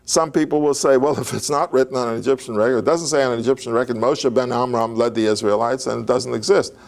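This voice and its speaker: A man's voice: medium pitch, fairly even intonation, fast talker, gravelly. An older man giving an informal, digressive lecture on biblical history, talking conversationally with fillers and restarts.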